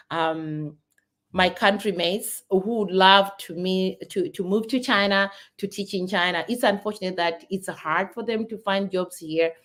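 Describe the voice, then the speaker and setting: A woman in her thirties from Zimbabwe with a Zimbabwean accent, talking earnestly to her viewers in a casual vlog, often restarting and repeating words. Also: fairly low pitch. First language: not English. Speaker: vlogger. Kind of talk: casual talk to camera.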